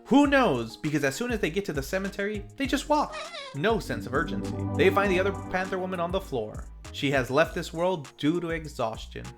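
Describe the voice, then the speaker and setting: A man's voice: high voice, big pitch swings, a little fast, hardly any pauses. A man hosting a film review, narrating a scripted recap in a playful, tongue-in-cheek way with theatrical asides. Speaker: film review show host. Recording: faint music under the voice. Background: faint background music.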